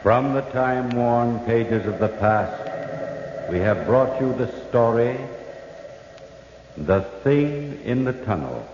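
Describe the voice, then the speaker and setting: Man, solemn horror radio announcer, theatrical, drawn-out delivery, cavernous echo, loud background noise. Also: medium pitch, expressive. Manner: slow, portentous. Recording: echoey, noisy, muffled old radio recording.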